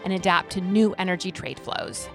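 A woman's voice: on the low side, steady tone, moderate pace, a bit bright, soft background music. A woman hosting a podcast, polished and friendly as she introduces guests and reads scripted questions. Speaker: podcast host.

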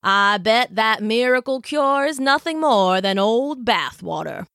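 A woman's voice: a little high, animated, measured pace, a bit bright, no room sound. A woman voicing a skeptical male small-town physician in a story, with a dry, pointed manner. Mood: wry.

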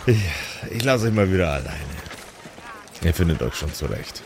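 A man's voice: low-pitched, very animated, normal speed, noisy background. A man voicing a smug, shady male cleric who talks in casual, insinuating slang. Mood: sly, threatening.

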